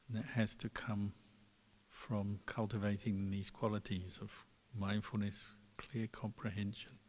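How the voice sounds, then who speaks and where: A man leads a guided meditation as a monk would, calmly and very slowly. His voice sits on the low side and leaves gentle gaps between phrases. It rings out with long reverb, as in a large hall. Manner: calm, unhurried, gentle pauses between phrases. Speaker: Buddhist monk, meditation teacher.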